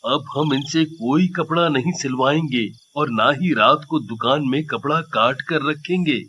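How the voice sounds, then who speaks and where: A man voicing a humble, earnest family tailor in a cartoon story, speaking deliberately. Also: fairly high pitch, bright, forceful tone, muffled recording, slight background noise. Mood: earnest, grateful. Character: humble, earnest married tailor, a kindly father. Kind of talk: Hindi cartoon story dialogue.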